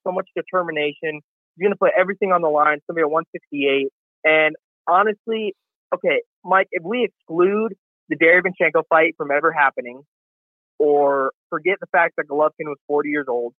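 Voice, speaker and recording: A man calling in to a boxing show, excited and boastful, rambling in casual chat. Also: on the high side, no room sound. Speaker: caller. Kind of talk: call-in chat. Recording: tinny phone line.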